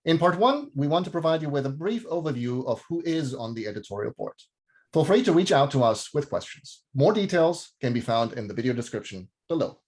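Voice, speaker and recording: A man hosting and moderating a panel, speaking clearly and orderly on a video call. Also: mid-range voice, lively intonation, a little fast, a little mellow. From Germany. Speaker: host, moderator. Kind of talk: scripted introduction and moderating.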